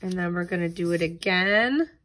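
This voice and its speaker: A woman's voice: fairly low pitch, normal speed, a bit bright, slight background noise. A woman tutor reading aloud and giving simple step-by-step instruction for young children. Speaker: tutor reading a picture book and leading a craft.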